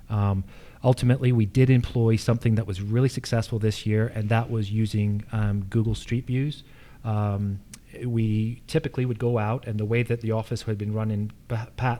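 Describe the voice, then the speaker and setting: An American man answering as an incumbent candidate at a forum, explanatory and matter-of-fact with a halting delivery. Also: fairly low pitch, dark tone. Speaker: political candidate, incumbent official.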